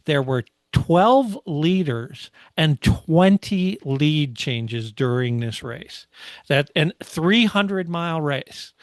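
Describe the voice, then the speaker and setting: A man's voice: on the high side, no echo. A man co-hosting a motorsport podcast, recapping a race in casual, enthusiastic conversation.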